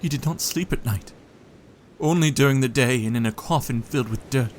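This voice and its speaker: An actor playing a haunted husband and former captive in an audio drama, speaking in a halting, troubled way. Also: male voice, mid-range voice, slight background noise, lightly acted. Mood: haunted, fearful.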